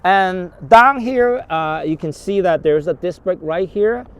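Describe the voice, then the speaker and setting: A man presenting a product informally outdoors, explaining in a halting, repetitive way with urban traffic around. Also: high voice, clean tone. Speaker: bike shop presenter.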